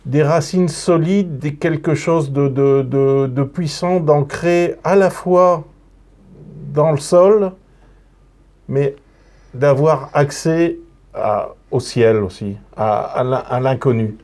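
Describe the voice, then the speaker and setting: A man from northern France, a guest on a radio interview, telling his story slowly with many restarts and repeated words. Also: on the high side, darkish tone. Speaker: guest, retired police officer.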